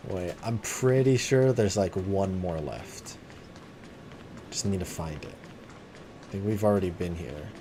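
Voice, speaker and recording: Low-voiced man streaming a video game. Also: unhurried, pauses often, background noise. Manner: chatty, thinking aloud, dryly jokey. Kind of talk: casual livestream game commentary. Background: game music.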